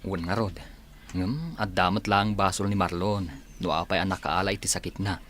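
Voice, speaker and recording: An actor plays an easygoing, blunt village man in an Ilocano radio drama. His voice is fairly low and chatty, with an Ilocano accent. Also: normal speed, a bit bright, slight background noise. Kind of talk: Filipino radio drama acting.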